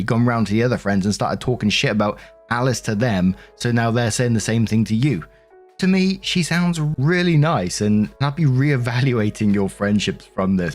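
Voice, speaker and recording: A man's voice: mid-range voice, a little mellow. A man reading online stories aloud and commenting on them in a conversational way, as a host. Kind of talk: story narration with casual commentary. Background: background music.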